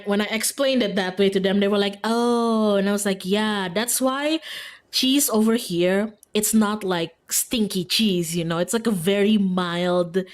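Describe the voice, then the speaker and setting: A woman from Indonesia, chatting casually and informally as a livestreamer. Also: mid-range voice. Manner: informal, frequent "like", giggly. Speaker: streamer. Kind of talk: casual livestream chat while playing a visual novel.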